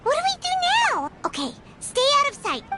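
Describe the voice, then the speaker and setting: A woman voicing a small, childlike, chatty and impatient fairy companion in a video game, with a squeaky voice. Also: fairly quick, heavy background noise, exaggerated voice. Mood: puzzled, urgent. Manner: excitable, refers to self in third person. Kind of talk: video game character acting.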